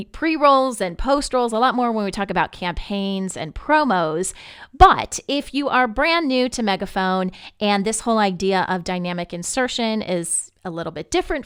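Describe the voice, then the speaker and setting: A woman giving an informal software tutorial in a conversational, easygoing, explanatory way. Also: medium pitch, clear, resonant tone, no room sound, studio quality. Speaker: podcast network representative giving a software tutorial. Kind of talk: informal screen-share tutorial walkthrough.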